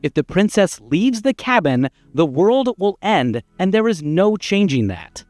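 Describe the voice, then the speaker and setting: A man playing a high-pitched, pushy storybook narrator. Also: very animated, a little slow, darkish tone, no echo, faint music behind. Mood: insistent. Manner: theatrical, insistent, wordy. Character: pushy, exasperated, wordy storybook narrator urging a hero onward.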